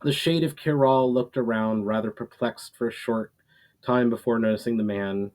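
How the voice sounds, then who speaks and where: A man who is an author reading his own fantasy writing aloud in a storytelling style, a little halting in delivery. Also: medium pitch, fairly even intonation, a little mellow. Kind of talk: casual intro, then dramatic storytelling read aloud.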